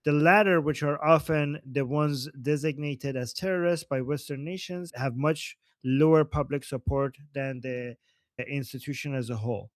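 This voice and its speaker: A man's voice: fairly high pitch, dry recording. A man from Iran hosting a casual political discussion, joking and teasing, laughing often. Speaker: host.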